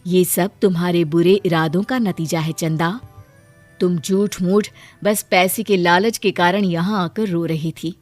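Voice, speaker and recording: Woman acting calm, motherly magical fairy. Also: fairly low pitch, faint music behind, pitched below the performer's own voice, lightly acted. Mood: stern. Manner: reassuring, measured, authoritative. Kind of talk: acted moral cartoon story.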